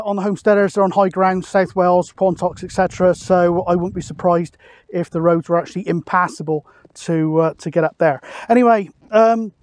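Casting: British man, forties, high voice, chatty and matter-of-fact hobby vlogger, dry open-air sound. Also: darkish tone, no room sound. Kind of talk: casual explanatory outdoor vlog. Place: open misty hilltop outdoors.

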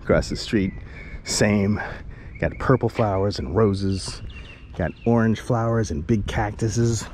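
A man's voice: on the low side, takes it slowly, a little mellow. Man, 40s, rambling vlog talk, action cam at arm's length, outdoor street noise. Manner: rambling, jokey, chatty self-talk. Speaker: vlogger. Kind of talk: casual walking tour vlog. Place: outdoors on a sunny residential street. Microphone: action camera held at arm's length. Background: street ambience and passing cars.

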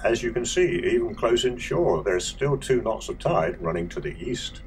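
A man narrating a home video of his sailing cruise in a plain, measured, matter-of-fact way. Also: medium pitch, sing-song, music in the background, poor audio. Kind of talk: calm voice-over narration.

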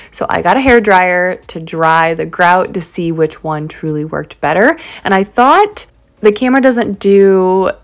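A young adult woman giving casual, upbeat voice-over commentary for a home cleaning video, with small filler words. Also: fairly low pitch. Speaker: cleaning vlogger. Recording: echoey room with faint background music.

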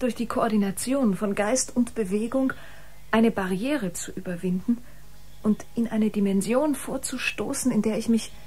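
Intense, high-voiced space musician. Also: female voice, mellow, husky. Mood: intense, exalted. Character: intense, proud female biomusician on a spaceship. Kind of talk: German radio play acting.

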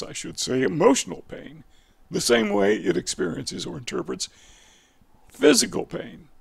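An older man with a raspy voice, explaining casually to camera on a headset mic, folksy and digressive, often correcting himself. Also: high voice. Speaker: video educator.

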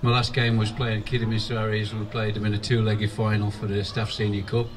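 A man's voice: fairly low pitch, level tone, fast, crowd noise. A man, a former player reminiscing in a casual, rambling way during an outdoor interview at a football ground. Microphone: handheld mic held by interviewer. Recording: distant mic, faint outdoor noise.

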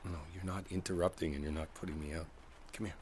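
Low male voice, actor playing tender boyfriend, soft and soothing, intimate ASMR roleplay, rain noise behind. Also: fast, natural voice. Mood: tender, reassuring. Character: gentle, reassuring musician boyfriend coaxing partner to sleep. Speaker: roleplay audio performer. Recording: steady background noise, rain sounds. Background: rain ambience.

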